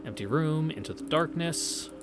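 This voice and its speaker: A man's voice: fairly high pitch, animated, moderate pace. A man reading a visual novel aloud as a streamer, adding casual, irreverent and profane asides, with game music behind him. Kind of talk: read-through with casual asides.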